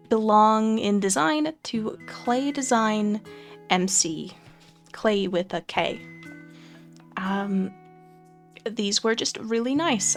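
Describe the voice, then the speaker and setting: Young woman for relaxed game commentary, slow and chatty, light guitar underneath. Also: medium pitch, creaky. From Finland. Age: young adult. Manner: relaxed, chatty, frequent fillers and soft laughs. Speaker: Minecraft let's play creator. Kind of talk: casual gameplay commentary.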